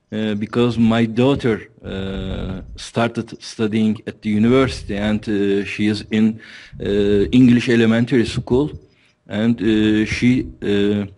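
A man in his forties from Turkey speaks in a low voice on a video call. He talks slowly and hesitantly, stopping often to search for words. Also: darkish tone. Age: 40s. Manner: slow, hesitant, many pauses. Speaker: caller.

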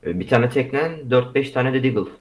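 A Turkish man with a medium-pitched voice talks very quickly. He sounds like a crew member casually reporting in during online roleplay. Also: animated. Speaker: fellow player, gang member. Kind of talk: casual in-game roleplay chat.

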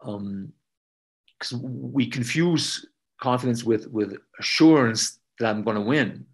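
A man's voice: medium pitch, takes it slowly, slightly rough. A man in his thirties from Austria, a podcast guest explaining ideas emphatically over a video call, often checking in with "right?". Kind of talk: conversational explanation. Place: indoor room at home.

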